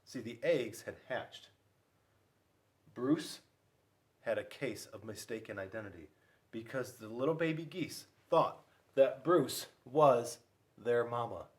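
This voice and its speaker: A man's voice: medium pitch, slow, long pauses. A man reading a picture book aloud to children as a school officer, playful and speaking directly to his listeners. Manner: playful, addressing young listeners with questions. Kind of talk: animated children's read-aloud.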